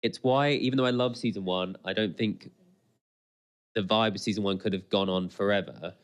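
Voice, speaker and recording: A man co-hosting a podcast, giving casual, chatty reaction commentary in an excitable, exclamatory way, often repeating phrases. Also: on the low side, moderate pace.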